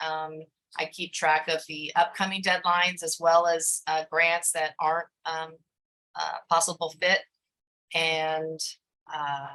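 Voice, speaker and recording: Woman on a video call, bright voice kinda low pitched, lots of ums and uhs. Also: poor audio. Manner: hesitant, many fillers. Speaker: grant writer, panelist. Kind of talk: panel discussion on video call.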